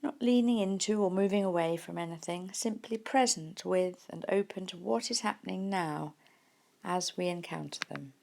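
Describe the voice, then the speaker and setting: A woman leading a guided mindfulness meditation in a gentle, unhurried manner with soft pauses. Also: lowish voice, vocal fry. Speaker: meditation teacher.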